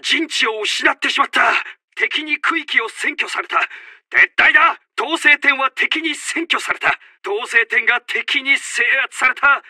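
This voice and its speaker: An actor playing a commanding, urgent Japanese army officer who barks out short battle announcements in a military video game. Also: male voice, very high voice, unhurried, bright, forceful tone, no echo, studio quality, exaggerated voice. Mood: alarmed, stern.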